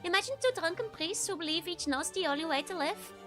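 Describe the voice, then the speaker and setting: A weary former sellsword, gravely telling of an endless war, read aloud by a woman with a Dutch accent. The voice sits high and bright for such a hardened man, with a little faint music underneath. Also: lively intonation, fast talker. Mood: grim storytelling. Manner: reads the lines aloud with light dramatic emphasis, Dutch-accented. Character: weary male former sellsword, grim storyteller.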